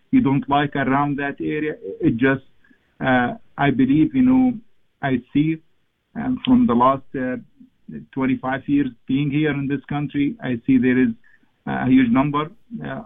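A man talks as a guest in an interview, his gravelly voice coming through a narrow, phone-like line. He speaks haltingly, circling back and filling pauses as he goes. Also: medium pitch. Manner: halting, many fillers and restarts. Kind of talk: casual interview talk.

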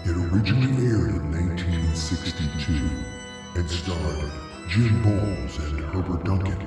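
Spooky intro host, male, very low register, heavy echo, loud music bed. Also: a little slow, far from the mic. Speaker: podcast host introducing an episode. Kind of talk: scripted narration, spooky introduction.

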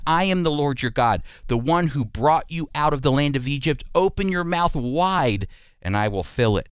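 A man hosting a radio Bible program, teaching and reading scripture in an emphatic, deliberate way with rhetorical questions. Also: on the high side, clear, resonant tone, dry recording, studio quality.

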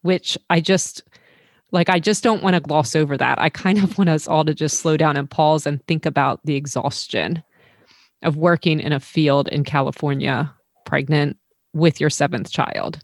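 Low-voiced woman host, dry close sound. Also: dry recording. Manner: thoughtful and measured, pauses to reflect. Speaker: podcast host. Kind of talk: interview questions.

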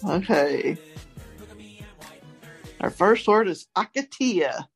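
A woman's voice: lowish voice, animated, slow, vocal fry, over music. A woman casually and a little hesitantly presenting a word game on a podcast. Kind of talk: casual chat.

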